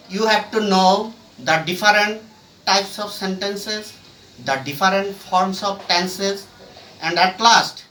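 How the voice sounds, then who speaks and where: A male teacher with a Bangladeshi accent speaks slowly in a high, bright voice. He lectures formally, phrase by phrase with deliberate breaks. The recording is echoey and picked up from a distance. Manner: deliberate, halting phrase-by-phrase delivery. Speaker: English grammar teacher. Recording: echoey room, distant mic, faint noise.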